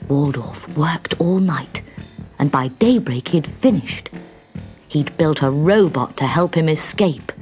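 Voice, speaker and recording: Casting: female storybook narrator, low register, highly animated and dramatic, music beneath. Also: slow pace. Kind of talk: scripted children's story narration.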